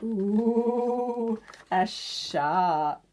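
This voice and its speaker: A woman's voice: on the high side, lively intonation, extremely slow, a little mellow. A woman reading a children's picture book of verse aloud, stretching words for emphasis and pointing things out to young listeners.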